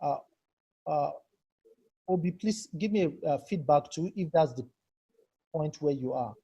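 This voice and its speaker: A husky, mellow-toned man runs a live video-call workshop, stepping through a data tool on screen. He talks patiently and keeps checking in with attendees by name. His voice comes through a computer mic on the call. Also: fairly high pitch, lively intonation, a little slow, highs cut off. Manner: patient, asks participants for feedback by name. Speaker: online workshop trainer. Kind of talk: live screen-share tutorial.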